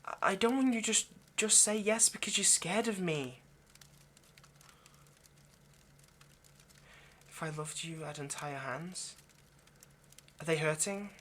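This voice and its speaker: A man playing an anxious, lovesick vampire kidnapper in an intimate audio roleplay, speaking in a halting, pleading way. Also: sits high, a bit bright, slight background noise. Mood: nervous, gently pleading. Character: anxious, lovesick male vampire kidnapper, centuries old but youthful.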